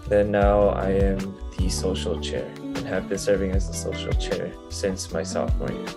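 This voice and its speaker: A man's voice: deep voice, fairly even intonation, moderate pace, vocal fry, over loud music. A young man answering interview questions as a student, hesitant and full of fillers. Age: young adult.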